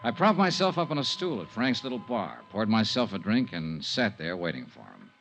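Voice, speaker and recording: An actor playing a tough, wry insurance investigator in a 1950s radio drama, clipped and sardonic. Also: male voice, on the low side, big pitch swings, moderate pace, fairly bright tone, a little hiss or hum. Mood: matter-of-fact narrating. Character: tough, wry male insurance investigator, cool under threat. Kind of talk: 1950s radio detective drama acting with first-person narration. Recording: muffled 1950s radio recording, music.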